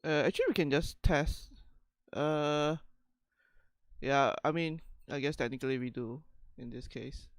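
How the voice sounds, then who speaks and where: A woman's voice: sits low, measured pace, dry recording, clean studio sound. A woman chatting casually on a livestream, giggly and self-deprecating. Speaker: streamer.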